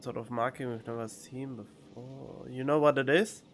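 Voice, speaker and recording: A man who is not a native English speaker, giving casual, blunt game commentary with halting phrasing. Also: mid-range voice, steady tone. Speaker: game streamer. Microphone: studio condenser microphone. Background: faint game music.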